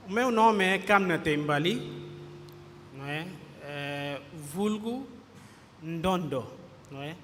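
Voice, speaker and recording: A man in his forties from Guinea-Bissau with a Guinea-Bissau Portuguese accent, a visiting pastor greeting a congregation and reading scripture, unhurried and often adding a questioning tag. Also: a little high, animated, a bit bright. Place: church hall. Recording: reverberant church hall with faint ambient noise.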